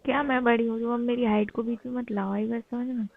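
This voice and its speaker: A woman's voice: on the high side, fairly even intonation, quick pace, creaky. Young woman on a tinny phone line. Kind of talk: casual intimate phone chat between lovers. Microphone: phone call.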